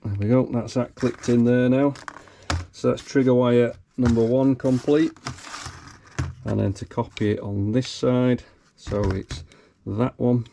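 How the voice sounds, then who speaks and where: A man with a slightly croaky voice, casually talking himself through wiring a car in his garage. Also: medium pitch, steady tone, a little slow, mellow, slight background noise. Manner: talks himself through each step, thinking aloud. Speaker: car hobbyist. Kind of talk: casual workshop commentary. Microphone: camera mic close to his hands.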